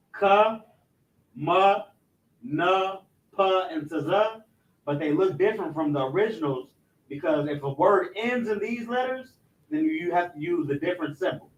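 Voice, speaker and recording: Male instructor, slightly higher voice, halting informal classroom delivery. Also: lo-fi sound. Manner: loose and repetitive, stumbles and restarts, keeps asking "you know what I'm saying"; explains slowly, step by step. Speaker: religious language instructor.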